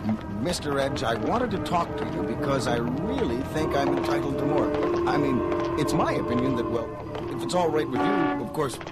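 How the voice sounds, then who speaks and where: A man's voice: medium pitch, animated, normal speed, loud music behind the voice, lightly acted. An actor playing a timid, mild-mannered newsman who talks in a hesitant, stammering way. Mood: nervous. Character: timid, mild-mannered male news reporter.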